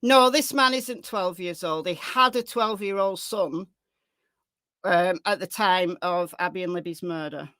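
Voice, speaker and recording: A woman presents livestream commentary in a medium-pitched voice. She talks emphatically, doubling back on phrases to drive them home and answering viewers as she goes. The sound is dry, with no room around her. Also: a bit bright, no room sound. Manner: emphatic, repeats phrases for stress. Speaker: livestream host. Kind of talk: true crime livestream commentary with chat replies.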